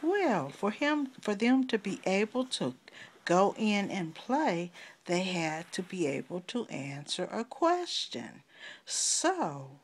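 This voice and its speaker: A middle-aged woman, a grandmother improvising a children's story with long pauses and coaxing questions. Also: mid-range voice. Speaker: grandmother telling a bedtime story.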